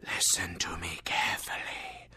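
A man voicing the ghost of a dead businessman, whispering slowly and gravely. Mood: warning. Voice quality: whispery, hushed. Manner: slow, grave. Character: ghost of a dead old businessman in chains, mournful.

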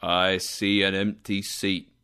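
A man voicing a stern, solemn spirit who speaks gravely and accusingly. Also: low-pitched, little pitch movement, slow, bright, forceful tone, voice pushed down, exaggerated voice.

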